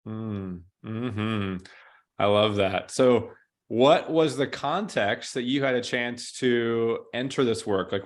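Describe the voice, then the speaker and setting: Enthusiastic male podcast host. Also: fairly low pitch. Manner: enthusiastic, effusive. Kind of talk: casual interview conversation.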